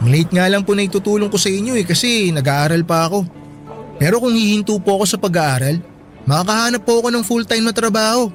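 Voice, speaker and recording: Guy acting young college son, high voice, polite n caring, radio drama w faint music. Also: fast, close to an everyday voice. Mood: earnest, grateful. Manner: polite, gentle, deferential. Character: respectful, caring college-student son, earnest and worried.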